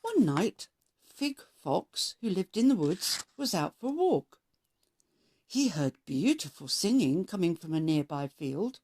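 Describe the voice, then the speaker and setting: A woman narrating a children's story as a read-aloud host, expressive and measured in her delivery. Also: lowish voice.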